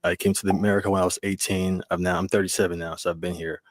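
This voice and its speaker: Deep quick man from Liberia. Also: little pitch movement, dry recording. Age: 30s. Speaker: interviewee in a dating video.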